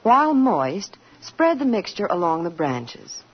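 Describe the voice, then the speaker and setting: A woman's voice: medium pitch, sing-song, a little slow, husky. A woman reading a scripted 1940s radio commercial, giving instructions. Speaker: commercial announcer. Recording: dull 1940s radio recording.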